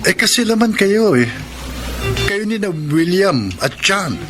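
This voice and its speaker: An actor playing a callous, vain young Filipino boyfriend in a radio romance drama. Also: male voice, high-pitched, big pitch swings, slow, exaggerated voice. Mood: smug, dismissive. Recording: music bed under the voice.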